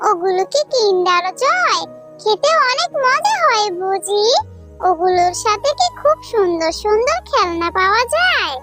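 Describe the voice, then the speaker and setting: A woman's voice: very high voice, sing-song, measured pace, fairly bright tone, heavily acted. A woman voicing an innocent, pleading young orphan servant girl in a children's cartoon story. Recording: children's jingle music behind the voice.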